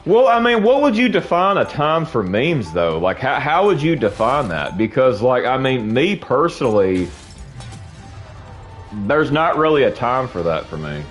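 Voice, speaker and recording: A man's voice: medium pitch, very animated, measured pace. Sniffly young dude ranting at his stream chat, rambly, keeps saying bro, voice jumps up and down a lot, faint game music behind. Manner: rambling, repeats himself, says bro a lot. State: sniffly from allergies. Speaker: streamer talking while gaming. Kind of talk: casual rant to stream chat.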